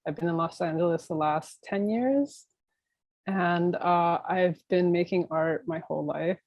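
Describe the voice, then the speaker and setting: An American woman answers interview questions in an informal, meandering way. Her voice sits a little low. The sound is very dry, with hardly any room in it, as she thinks aloud like an artist chatting about work. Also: a little slow, a little mellow, no room sound, highs cut off. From Miami, United States. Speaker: interview guest, new media artist. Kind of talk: casual interview conversation.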